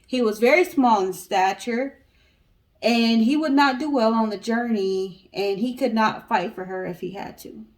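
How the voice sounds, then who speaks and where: A woman's voice: on the high side, unhurried. A woman co-hosting a story show, reading a tale aloud in a casual way. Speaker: co-host of a story guessing show.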